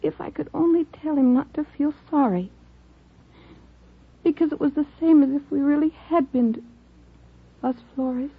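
An actress playing a frail, dying young wife, tender and plaintive, in a 1950s radio drama. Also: female voice, high voice, moderate pace, gravelly, long pauses. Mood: wistful, pleading. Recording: tinny old radio broadcast.

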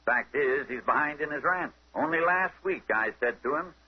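Husky, animated folksy landlord. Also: male voice, a little high, moderate pace. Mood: chatty. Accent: American. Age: older. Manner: folksy, colloquial, excitable. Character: talkative, folksy older male landlord. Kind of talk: 1930s radio acting. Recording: dull old 1930s radio recording.

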